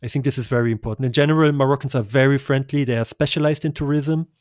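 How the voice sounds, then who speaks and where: A man's voice: mid-range voice, fairly even intonation, fast talker, a little mellow, no echo. Enthusiastic male travel guest, dry sound. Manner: enthusiastic, effusive recommendations with small laughs. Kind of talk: conversational travel interview.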